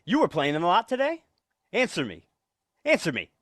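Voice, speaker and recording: A man's voice: high-pitched, wildly swooping pitch, fairly quick, fairly bright tone, no echo, studio quality, full character voice. A man acting a nervous, secretive husband with menace under his evasive stammer, in 1940s radio suspense style. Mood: menacing, agitated. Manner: stammering, evasive, repeats words.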